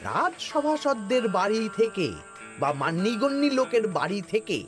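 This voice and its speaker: A man's voice: high voice, very animated, normal speed. A man playing a greedy, scheming royal minister in a comedy cartoon, with a wheedling, conspiratorial, theatrical delivery. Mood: sly, conspiratorial. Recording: music behind the voice.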